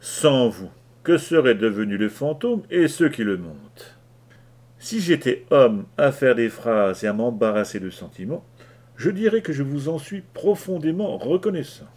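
A man voicing a hard sea captain who speaks slowly and mockingly, in an audiobook reading. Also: mid-range voice, lively intonation, darkish tone, natural voice. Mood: sardonic. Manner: slow, sardonic.

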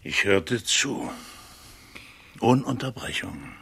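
An actor playing a composed, patronising nobleman in a literary radio drama, speaking formally in a husky, creaky voice. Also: on the low side, big pitch swings, fairly bright tone, no echo, heavily acted. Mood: soothing. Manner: measured, formal speech. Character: courtly nobleman, composed, patronising friend of an old adventurer.

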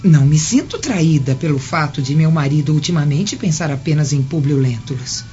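A woman acting a trusting Roman governor's wife in a radio drama. The voice is fairly low and soft-edged, composed, with music behind. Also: moderate pace, dull audio, lightly acted.

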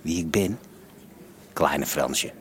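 An actor playing a small, knowing red-light district insider, narrating a radio drama in a wry, street-wise way. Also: male voice, rumbling low, slow pace, a little hiss or hum. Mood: wry, confiding.

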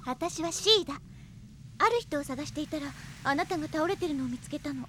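A spirited young heroine in a radio drama. Her voice is extremely high and bright, with a kindly manner, over faint ambient noise. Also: moderate pace. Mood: gentle, hurried. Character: kind, spirited young girl pegasus rider. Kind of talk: Japanese radio drama acting.

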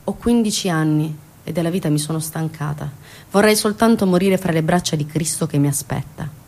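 Stage drama role: a woman playing a dying girl of fifteen, weary, plaintive, voice dropped low. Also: brisk, a little mellow. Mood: weary, resigned. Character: dying, weary fifteen-year-old girl giving testimony. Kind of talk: theatrical monologue.

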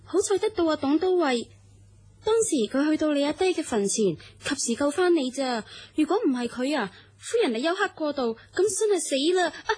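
An actress playing an anxious, deferential young maidservant in a radio drama, pleading and fussing. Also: female voice, sits high, expressive, moderate pace, a little mellow. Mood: flustered. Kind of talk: Cantonese radio drama acting.

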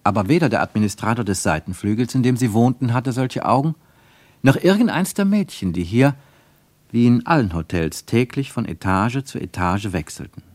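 A man narrating a scripted radio play in a measured, literary storytelling manner. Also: mid-range voice, animated, darkish tone, no room sound.

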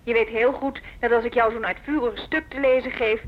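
A woman's voice: fairly high pitch, steady tone, a little fast, natural voice. An actress playing a confrontational, insistent woman music teacher who leads a faction, in a Dutch radio drama. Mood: reproachful. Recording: dull, lo-fi telephone scene recording.

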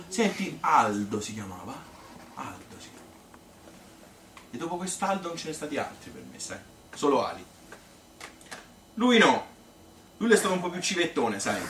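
A man's voice: medium pitch, fairly bright tone. A man playing an old Tuscan grandmother who reminisces in a rambling, colloquial monologue, chatty, feisty and devoted to her husband. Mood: feisty, amused. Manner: rambling, colloquial, repetitive, with little interjections. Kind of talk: spontaneous-sounding theatrical monologue. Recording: echoey, distant, noisy stage recording.

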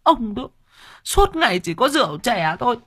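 A storyteller voicing a loud, nagging, middle-aged rich wife who screeches and wails, in a dry close recording. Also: mid-range voice, big pitch swings, normal speed, breathy edge, pitched above the performer's own voice. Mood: shrill nagging.

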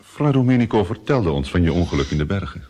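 A sly courtier in a radio play. His low voice swings widely in pitch as he speaks casually and insinuatingly. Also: sing-song, fast, dark tone. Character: smooth, insinuating male courtier. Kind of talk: radio drama.

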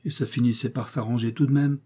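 A man's voice: medium pitch, fairly even intonation, fast talker, dark tone, slightly rough, lightly acted. A man voicing an anxious, loving middle-aged wife who pleads tenderly with her husband. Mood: alarmed.

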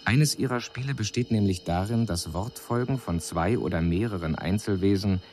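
Deep-voiced alien envoy played by a man. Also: a little mellow, lightly acted. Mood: detached, analytical. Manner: measured, clinical, formal diction. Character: detached male extraterrestrial envoy reporting on humans, analytical. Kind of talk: formal radio drama report narration. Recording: faint hiss on 1980s radio production.

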